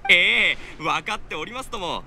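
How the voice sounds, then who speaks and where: A man voicing a pushy, excitable young pilgrim in a game, theatrical and brash. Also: high voice, moderate pace, a little hiss or hum, heavily acted. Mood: indignant, suspicious.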